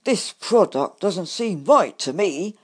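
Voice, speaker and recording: A woman's voice: a little high, huge pitch swings, takes it slowly, breathy edge, voice pushed up, full character voice. A woman voicing a boastful, snappish male rat who calls himself a super rat. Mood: indignant. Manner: snappish, pompous.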